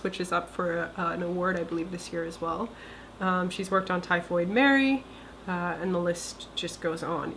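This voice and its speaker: A woman's voice: medium pitch, fairly bright tone. A woman giving an informal spoken introduction as the presenter of a team project. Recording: faint ambient room noise.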